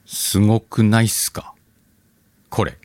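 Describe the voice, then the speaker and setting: A man's voice: fairly low pitch, slow, darkish tone. A man hosting a channel, chatting informally and addressing his listeners as he makes a casual announcement. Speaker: channel host.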